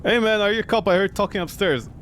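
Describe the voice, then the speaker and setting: A man reads out a tough apartment tenant in a horror game, wary of everyone. He talks in blunt, slangy bursts, with a faint rumble behind. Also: fast talker, a bit bright.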